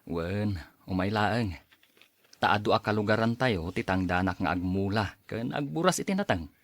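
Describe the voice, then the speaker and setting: A man voicing a humble farmer in a radio drama, lowish voice, lively up-and-down pitch, modest. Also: moderate pace, dry recording. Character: humble hardworking young farmer. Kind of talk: audio drama acting.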